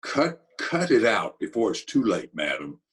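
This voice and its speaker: A man acting as a blunt underworld boss from an old radio drama. The voice is low and commanding, with formal gangster phrasing. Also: very animated, unhurried. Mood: urgent. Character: blunt, commanding New York underworld tough guy.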